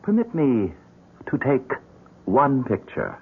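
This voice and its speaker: A man's voice: medium pitch, wildly swooping pitch, takes it slowly, heavily acted. An actor playing a sly, menacing male stranger who speaks formally and theatrically in an old radio drama. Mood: coaxing. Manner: formal, theatrical, smooth-talking. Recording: muffled old radio broadcast recording.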